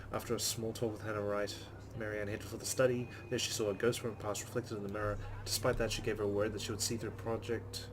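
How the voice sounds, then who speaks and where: A man's voice: fairly low pitch, noisy background. A man hosting a let's play, reading game narration aloud dramatically and adding muttered, sweary asides. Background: game music.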